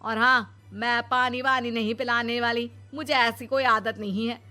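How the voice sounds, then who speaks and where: A woman's voice: on the high side, steady tone, unhurried, bright, forceful tone, faint music behind. A woman voicing a bitter, scolding older mother-in-law in a family cartoon. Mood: indignant. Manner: complaining, scolding.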